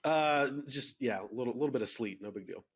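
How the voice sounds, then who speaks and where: A man's voice: medium pitch, a little fast, breathy edge. A man with a Midwestern American accent, chatting casually as an interview guest in an easygoing, self-deprecating way. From Columbus, Ohio, United States. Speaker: podcast guest, insurance executive.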